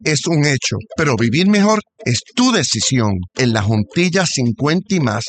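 A male announcer delivering an energetic, motivational radio promo. His pitch sits slightly high and moves around a lot. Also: normal speed. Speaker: commercial announcer.

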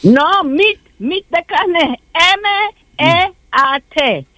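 A woman in her seventies, a retired teacher's assistant, chatting as a guest and spelling words out slowly with English mixed in. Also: very high voice, lively intonation, sharp tone, no room sound. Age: 70s. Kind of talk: casual on-air chat.

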